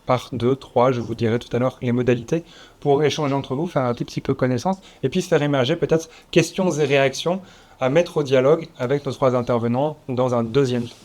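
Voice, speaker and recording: Measured man moderating a round table. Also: medium pitch, a little mellow. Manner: measured, slightly formal, with occasional fillers. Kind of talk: formal event introduction. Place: conference room with audience.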